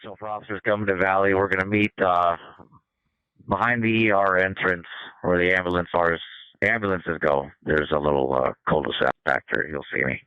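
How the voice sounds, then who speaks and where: A man's voice: low voice, steady tone, fairly quick, clean tone, dry recording. An American man giving instructions as a police officer over the radio. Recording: muffled police radio recording.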